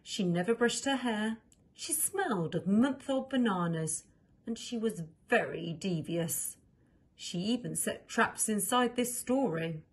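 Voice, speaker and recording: A woman reads a children's story aloud in a medium-pitched voice. Her narration is expressive, with very lively rises and falls. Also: a little slow, slightly rough. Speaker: story reader.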